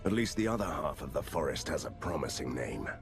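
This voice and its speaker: A man playing a stoic, sardonic young monster-hunting hero in a video game, delivered deadpan. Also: very deep voice, fairly quick, vocal fry, exaggerated voice. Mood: dry. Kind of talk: video game cutscene acting. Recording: loud music behind. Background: game music.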